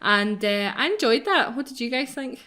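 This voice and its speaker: A woman's voice: on the high side, lively intonation, normal speed, sharp tone. A woman hosting a let's play, chatting casually and playfully with friends and prone to giggling. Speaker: host of a let's play channel. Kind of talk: casual chat with friends during gameplay.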